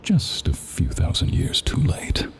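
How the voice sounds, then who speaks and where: An actor playing a composed demon prince with a dry, understated, wry manner. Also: male voice, measured pace, slight background noise, close to an everyday voice.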